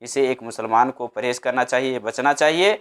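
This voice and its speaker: A man's voice: medium pitch, normal speed, a bit bright, clean tone. A man giving religious advice as a preacher, didactic and emphatic, repeating his points in short phrases. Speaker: Islamic preacher answering a religious question.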